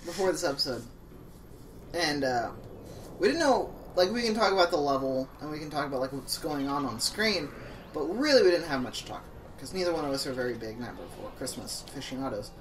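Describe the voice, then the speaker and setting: A man's voice: a little high, lively intonation, a little fast, recorded from a distance, slight background noise, poor audio. Wry young man, slightly off-mic. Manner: wry, joking. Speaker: let's play co-host. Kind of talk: casual gaming banter.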